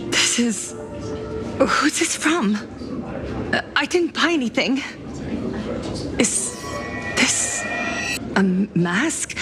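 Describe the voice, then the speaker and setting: An actress playing a traumatised young woman turned eerie media mogul in a video game, with a slow, breathy, theatrical delivery. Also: mid-range voice. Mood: bewildered, shaken. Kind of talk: scripted video game voice acting. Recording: loud music behind.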